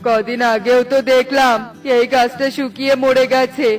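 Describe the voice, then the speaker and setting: A woman voicing a good-hearted, excitable male pigeon woodcutter in a cartoon, earnest and full of exclamations. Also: high-pitched, normal speed, soft background music, close to an everyday voice. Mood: astonished. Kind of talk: cartoon voice acting.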